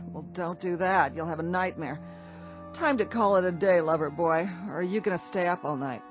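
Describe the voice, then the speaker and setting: An actress playing a brassy, bored American wife in a radio drama, sarcastic, flippant and dismissive. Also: female voice, on the low side, moderate pace, faint music behind, close to an everyday voice. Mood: sleepy, annoyed.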